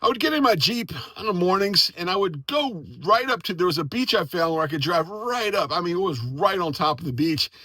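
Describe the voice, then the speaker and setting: A man's voice: sits high, expressive, a little fast, hardly any pauses, bright, forceful tone, dry recording. An American man chatting casually and enthusiastically as an amateur radio operator and video host. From United States. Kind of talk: casual storytelling and on-air radio chat.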